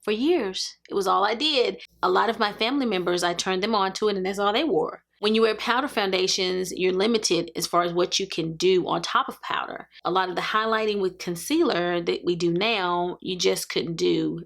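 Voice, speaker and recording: A woman's voice: medium pitch, normal speed, vocal fry, hardly any pauses. A woman in her forties hosting a makeup tutorial, chatting to camera in an earnest, emphatic way and repeating points for stress. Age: 40s. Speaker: beauty vlogger.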